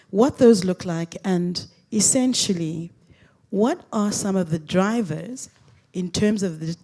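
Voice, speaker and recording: A woman's voice: mid-range voice, dark tone. A woman moderating a panel, reading formal introductions and posing questions to a speaker.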